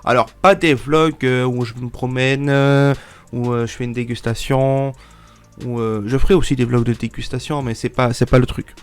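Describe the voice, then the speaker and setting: Twenties male YouTuber, congested, mid pitch, rambling vlog talk, restarts. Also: steady tone, normal speed, clear, resonant tone, faint music behind. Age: 20s. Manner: rambling, many fillers and restarts. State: stuffy from a cold. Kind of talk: casual vlog talk to viewers.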